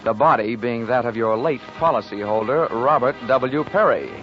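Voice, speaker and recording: Actor as low-voiced hard-boiled 1940s radio detective. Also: male voice, natural voice. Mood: dry, wry. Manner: brisk, dry, commanding. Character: wisecracking, hard-boiled male insurance investigator. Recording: dull 1940s radio recording with music.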